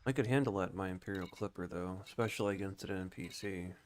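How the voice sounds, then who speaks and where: A man's voice: sits low, fast talker, slight background noise. A man giving casual live-stream commentary on a game, musing and thinking aloud.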